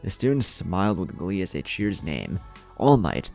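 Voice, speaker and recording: Male narrator for dramatic fan fiction, very low register, animated intonation, gravelly texture. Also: normal speed, faint music behind. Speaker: story narrator.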